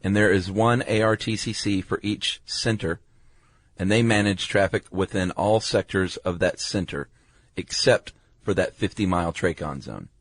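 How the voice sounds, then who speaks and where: Male podcast co-host with a deep voice, laid-back colloquial explainer, recorded close and dry. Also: normal speed, no room sound. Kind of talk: casual explanatory chat.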